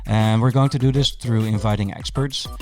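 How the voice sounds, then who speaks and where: A man's voice: on the low side, fairly even intonation, normal speed, a little mellow, faint music behind. A man hosting a podcast, introducing and interviewing in a conversational style. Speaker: podcast host.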